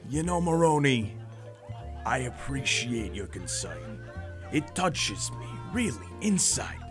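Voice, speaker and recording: An actor playing a self-assured, menacing mob boss in a radio noir drama, speaking with deliberate threat. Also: male voice, medium pitch, very animated, music in the background. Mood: smooth menace.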